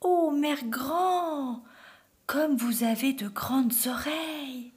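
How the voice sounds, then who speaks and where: An innocent little girl from a storybook, played by a woman. The voice sits high, with an earnest, wide-eyed manner. She sounds trusting and polite. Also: big pitch swings, measured pace. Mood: amazed.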